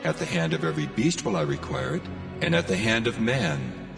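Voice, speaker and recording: A solemn, commanding God played by a male actor with a heavily gravelly voice that rises and falls expressively. The declamation rings through long reverb over music in a dramatized scripture reading. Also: medium pitch, very animated, fairly quick. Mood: stern, commanding. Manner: solemn, declamatory, archaic phrasing.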